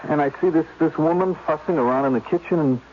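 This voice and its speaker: An American man playing an earnest, humorless, tender policeman husband in a 1970s radio drama, speaking plainly and haltingly. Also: a little high, close to an everyday voice. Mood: confessional, uneasy. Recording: dull, noisy old radio broadcast.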